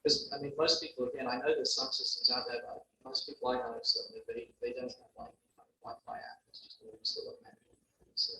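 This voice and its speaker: A man's voice: medium pitch, steady tone, brisk. A man with a London accent, a guest presenter answering audience questions at a meeting, talking hesitantly with many restarts. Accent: London English. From London, England. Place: meeting room. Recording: echoey room, distant mic, faint hum.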